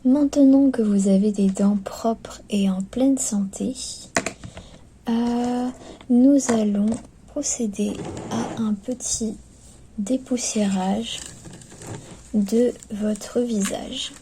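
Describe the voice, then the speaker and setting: A woman murmurs an ASMR clinic roleplay in a very dark, soft voice that often drops to a whisper. She goes slowly and gently, with hesitant pauses, like attentive staff guiding a client. The recording is lo-fi, with faint noise behind her. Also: a little high. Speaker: ASMR performer playing skeleton clinic staff.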